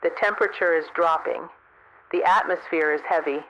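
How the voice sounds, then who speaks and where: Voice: medium pitch, fairly quick, fairly bright tone, muffled recording, a little hiss or hum, close to an everyday voice. A man playing a solemn, observant field correspondent who describes the world's end in a scripted radio drama report. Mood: solemn awe.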